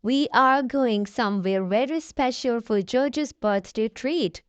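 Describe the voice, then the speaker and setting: A woman voicing a gentle, reassuring mother pig in a children's storybook, explaining things soothingly. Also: a little high, slow pace, clear, resonant tone, no echo.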